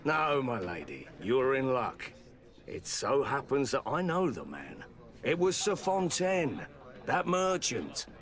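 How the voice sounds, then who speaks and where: A man's voice: mid-range voice, big pitch swings, takes it slowly, fairly bright tone. An actor playing a helpful, deferential townsman giving information in a video game, polite in manner.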